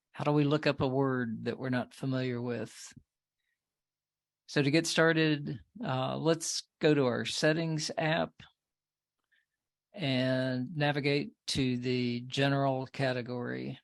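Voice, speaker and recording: A man presenting a tech tips segment on a video call, giving steady, methodical step-by-step instructions. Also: medium pitch, gravelly, dry recording. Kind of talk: step-by-step tutorial.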